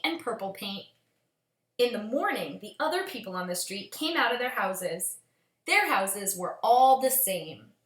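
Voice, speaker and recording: A woman reading a children's storybook aloud as a storyteller, with expressive, measured phrasing. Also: fairly high pitch. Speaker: storyteller, teacher. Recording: echoey room.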